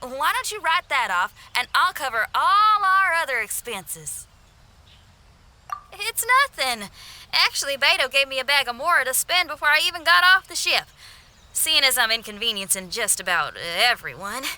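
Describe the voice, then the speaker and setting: A woman's voice: high-pitched, big pitch swings, moderate pace, bright, forceful tone, exaggerated voice. A woman voicing a breezy, confident young female adventurer in a video game, with a folksy, casual, spirited manner. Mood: friendly, confident.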